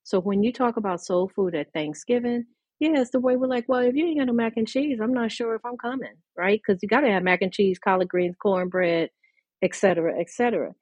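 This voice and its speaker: A woman's voice: medium pitch, brisk, darkish tone, slightly rough, no room sound. A woman author and scholar talking in a thoughtful, lecturing way, with restarts and laughs, in a podcast conversation. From mid-Atlantic United States.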